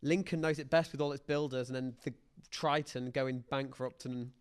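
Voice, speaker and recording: A man's voice: medium pitch, steady tone, moderate pace, smooth. A man talks casually as a podcast host about film. He is opinionated and likes to act out imagined reactions as he makes his points.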